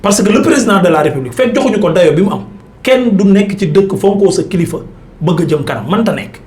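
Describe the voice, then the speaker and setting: A man with a Senegalese accent and a notably high voice argues like a seasoned political commentator. He is emphatic and insistent. The recording has a clear roomy echo around him. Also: lively intonation, quick pace, darkish tone. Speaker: political commentator on a talk show. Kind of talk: argumentative political debate, mixing Wolof and French.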